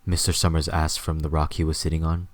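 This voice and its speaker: A man's voice: a bass voice, little pitch movement, a little fast. A man reading scripted story narration as the narrator of an audio drama.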